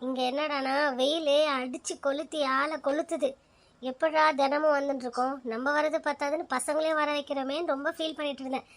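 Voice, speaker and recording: A woman's voice: sits high, fairly even intonation, fairly quick. A woman playing a weary but agreeable junior schoolteacher in a school skit, chatty and prone to grumbling. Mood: grumbling, relieved.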